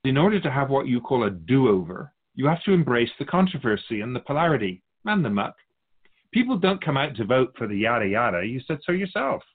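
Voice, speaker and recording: A man acts as a wise spirit guide who answers questions about human souls. The delivery is measured and philosophical, gently instructive, with a medium male pitch that rises and falls in an animated way. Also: slightly rough, phone-quality sound. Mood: calm, instructive.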